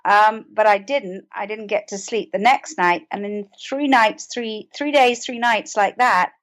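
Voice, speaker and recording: A woman's voice: mid-range voice, a little slow, fairly bright tone. A middle-aged woman with a British accent, giving a conversational personal account as an interview guest.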